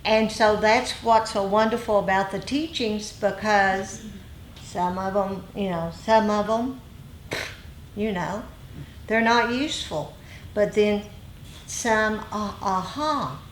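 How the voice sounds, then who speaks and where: Female group teacher, very slow conversational delivery with repeated phrases, in a reverberant room. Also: medium pitch. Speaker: dharma teacher leading group discussion. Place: meditation group meeting room. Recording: echoey room, faint noise.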